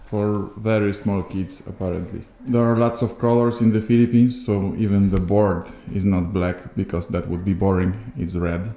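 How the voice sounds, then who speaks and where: A man's voice: lowish voice, steady tone, moderate pace, few pauses, dull audio. A man from Poland, chatting as a travel vlogger while walking through a remote village. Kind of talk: casual walking-tour commentary. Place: outdoors in a hot remote village.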